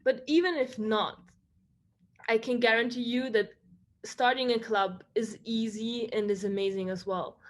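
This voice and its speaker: A woman's voice: fairly high pitch, fairly even intonation, measured pace. A young woman from Ukraine, a student leader, presenting eagerly over a video call with frequent restarts. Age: young adult.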